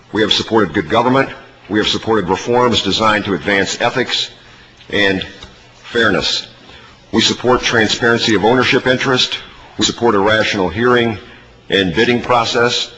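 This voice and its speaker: A man with a fairly low, bright and forceful voice delivers formal testimony. He speaks in a measured, deliberate way, as an industry representative would. The hall rings with long reverb and there is faint noise behind him. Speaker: advertising industry representative testifying at a legislative hearing. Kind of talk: formal prepared testimony and answers. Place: large hearing room.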